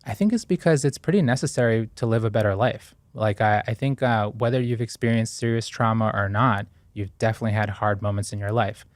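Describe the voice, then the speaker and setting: A man's voice: fairly low pitch, fairly quick, hardly any pauses, no echo. A man in his thirties from Ecuador, a podcast guest talking earnestly and thoughtfully about his past, with frequent fillers and restarts. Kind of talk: reflective interview talk.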